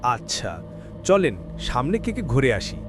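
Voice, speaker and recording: A man's voice: medium pitch, huge pitch swings, measured pace, music in the background. A man voicing a polite, smitten young city-raised son of a village headman in a cartoon horror story. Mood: polite, curious.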